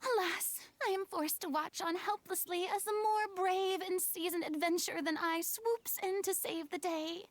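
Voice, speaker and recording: A theatrical young former goddess from an anime game. Her voice is very high and recorded bone-dry. She speaks in grand, flowery phrases, full of self-importance and stagey flourish. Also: fairly even intonation, moderate pace, hardly any pauses, dry recording.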